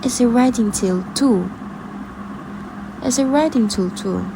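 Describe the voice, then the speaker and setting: A woman's voice: on the high side, unhurried, noisy background. A woman presenting a scripted explainer narration in the manner of a video essay.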